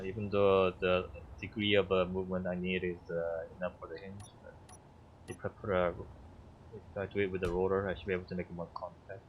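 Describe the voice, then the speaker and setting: A man whose first language is Korean, streaming a game and thinking aloud in a halting way with many fillers. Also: low-pitched, highs cut off, slight background noise. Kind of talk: casual gameplay commentary.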